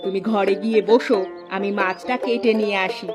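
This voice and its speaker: A woman's voice: medium pitch, steady tone, normal speed, natural voice. Woman doing bossy show-off village wife, cartoon style, combative, faint music behind. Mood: pleased. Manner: boastful, sharp-tongued. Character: boastful, combative elder village sister-in-law. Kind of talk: Bangla cartoon dialogue.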